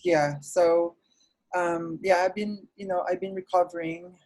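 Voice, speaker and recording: A man from the Philippines, a nurse's aide, talking casually over a video call, soft-spoken and full of "you know" fillers. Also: sits high, little pitch movement, measured pace, poor audio. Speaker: nurse's aide recovering from illness, interview guest. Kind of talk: casual interview conversation.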